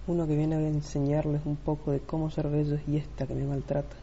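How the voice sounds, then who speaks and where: A woman's voice: low voice, level tone, fairly quick. A woman playing a vain, flirty man obsessed with his looks in an amateur radio play, affected and self-admiring. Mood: aggrieved vanity. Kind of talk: amateur Spanish-language radio play acting. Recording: muffled lo-fi home recording, faint noise.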